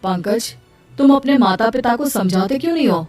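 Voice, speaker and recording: A woman's voice: fairly high pitch, lively intonation, moderate pace, darkish tone, far from the mic, soft background music, close to an everyday voice. A woman voicing a patient, dutiful elder brother in a cartoon story, with a mild, placating manner. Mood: apologetic, concerned. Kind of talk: Hindi cartoon story voice acting.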